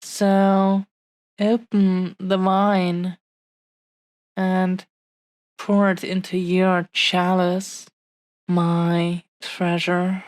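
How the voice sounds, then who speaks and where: A woman acts out an adoring dragon-blooded heroine in an intimate audio roleplay. She speaks very slowly in a slightly low female voice, pausing often as she earnestly addresses her beloved. Her delivery is tender and possessive, captured close and dry. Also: completely flat delivery, no room sound. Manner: hushed-paced, halting, affectionate, earnest delivery with long pauses.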